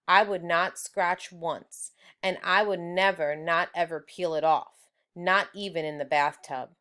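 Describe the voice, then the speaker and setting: A woman's voice: fairly low pitch, fairly even intonation, a little slow, bright, forceful tone, clean tone. A woman voicing a stubborn, envious young schoolgirl from a children's storybook, speaking with indignant emphasis.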